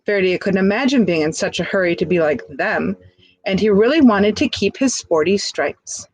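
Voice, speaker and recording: A woman reading a picture book aloud expressively, pausing to chat to young listeners with questions and asides. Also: medium pitch, lively intonation, slightly rough, poor audio. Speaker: storybook reader.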